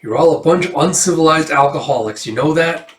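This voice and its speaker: A man's voice: a little high, a little fast, distant mic, voice pushed up, close to an everyday voice. Disdainful comic-book robot, played by a man, fussy, prim, exasperated, roomy sound.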